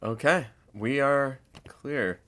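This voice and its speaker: A man's voice: mid-range voice, slow, a bit bright, slight background noise. A wry radio producer in a casual audio sitcom. His delivery is dry and bantering, with a teasing edge.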